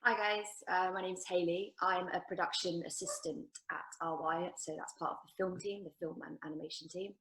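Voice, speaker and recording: A young woman talks about her work in a casual, unhurried way, dotted with ums. Her voice is fairly low and stays flat in pitch. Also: poor audio. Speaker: production assistant, panelist. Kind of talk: career panel talk.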